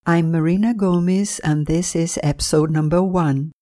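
A woman from Brazil hosting a podcast as a language teacher, reading a scripted bilingual lesson with careful, deliberate enunciation for learners. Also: lowish voice, fairly even intonation, dark tone.

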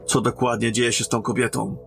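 An actor playing a formal, cautious captain sent as a royal emissary in a fantasy audio drama. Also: male voice, medium pitch, flat delivery, fairly quick, vocal fry, a little hiss or hum, close to an everyday voice. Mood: concerned.

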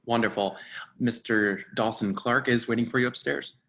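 A man's voice: medium pitch, fairly even intonation, normal speed, gravelly, a bit bright. An actor playing a fussy, officious male restaurant host with formal manners in a readers theatre drama. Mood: polite, formal. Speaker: actor in a staged reading.

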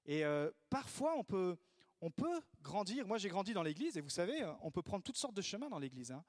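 A man preaching a sermon in a lively way, acting out the voices he quotes. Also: sits high, brisk, dry recording, clean studio sound. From Italy. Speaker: pastor.

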